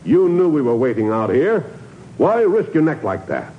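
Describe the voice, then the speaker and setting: An actor playing an urgent, exasperated intelligence chief in a 1950s radio drama. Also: male voice, a little high, big pitch swings, normal speed. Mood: bewildered, exasperated. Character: alarmed, blustering male intelligence chief. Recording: echoey, noisy, muffled old radio recording.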